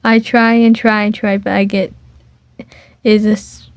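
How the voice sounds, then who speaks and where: A woman's voice: mid-range voice, flat delivery, a little slow, no room sound. A woman reading a fan dating sim aloud, rambling and stumbling over words, on a dull lo-fi recording. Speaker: fan game reader. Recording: dull lo-fi dry home mic.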